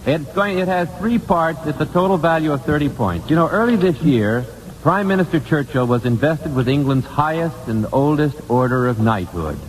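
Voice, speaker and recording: A man's voice: fairly high pitch, animated, normal speed. A man hosting a 1950s live radio quiz, chatty yet formal and courteous in his delivery. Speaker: radio quiz show host. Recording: old radio broadcast, long reverb, faint noise.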